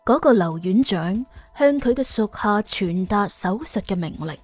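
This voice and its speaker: A woman's voice: medium pitch, lively intonation, unhurried, a little mellow, no room sound, soft background music. A woman with a Cantonese accent reading a scripted suspense audiobook as its narrator.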